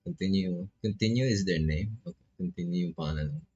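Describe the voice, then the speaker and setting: A man's voice: deep voice, animated, a little fast, warm tone, breathy edge, lo-fi sound. A man with a Filipino accent commentating a visual novel as he plays, casually reading dialogue aloud with playful asides. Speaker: streamer playing a visual novel. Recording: music behind the voice.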